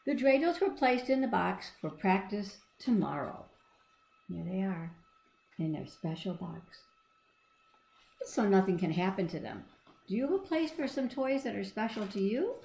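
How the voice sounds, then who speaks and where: A woman's voice: fairly high pitch, big pitch swings, normal speed, gravelly, darkish tone. A woman reading a storybook aloud to children, expressively, with chatty asides and questions to young listeners. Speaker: storybook reader. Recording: echoey room.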